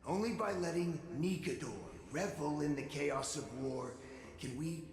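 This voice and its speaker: A grim, conspiratorial war priest from a game speaks gravely and formally. The voice sits slightly high with a lot of creak, in an echoing space. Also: male voice, measured pace, music in the background. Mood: grim, resolute. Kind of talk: dramatic video game voice acting. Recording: echoey game dialogue.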